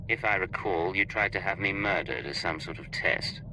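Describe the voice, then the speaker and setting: An actor playing a cool, defiant secret agent in a video game, with a dry, unflinching manner. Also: male voice, sits low, moderate pace, noisy background, lightly acted. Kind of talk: scripted video game acting.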